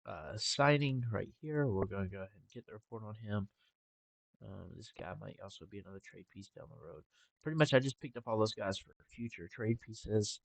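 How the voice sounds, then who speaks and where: A young man talking casually over a game with a congested, stuffy nose and a relaxed, rambling manner. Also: lowish voice, gravelly, no room sound, rough recording. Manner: relaxed, rambling with filler ums. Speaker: gaming channel host. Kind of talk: casual gameplay commentary.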